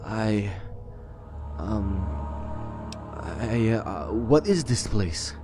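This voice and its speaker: A man improvising in character in a video game roleplay, speaking in a halting, hesitant way. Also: fairly low pitch, lively intonation. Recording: game music behind the voice.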